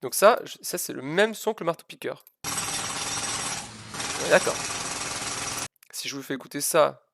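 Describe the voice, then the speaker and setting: A man's voice: fairly high pitch, lively intonation, unhurried, creaky, pauses often, background noise. A man giving an informal live physics lesson, hesitant and self-deprecating, repeating and stressing key words as he explains. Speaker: physics teacher streaming live.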